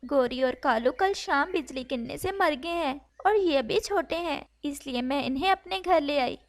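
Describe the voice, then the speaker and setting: A woman voicing a kind, caring female sparrow in a cartoon story, with a gentle, earnest, motherly manner. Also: sits high, normal speed, close to an everyday voice. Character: kind, caring female sparrow who adopts orphaned chicks. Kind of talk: Hindi cartoon story acting.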